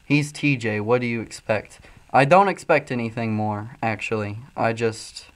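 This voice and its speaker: A man from Illinois with a Midwestern accent, loosely and profanely talking through chat comments on a livestream while high. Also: normal speed. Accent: Midwestern American. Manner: loose, profane, frequent ums and uhs. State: high, coughing from smoking. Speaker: streamer reading chat.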